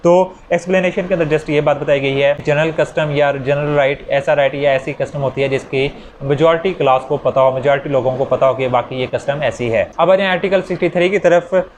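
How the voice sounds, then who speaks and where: A Pakistani man teaches law in a fairly high voice. He explains step by step with examples and slows down for English legal terms. Some background noise sits behind him. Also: fairly even intonation. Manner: switches into English legal terms, reading statutes slowly. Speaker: law lecturer.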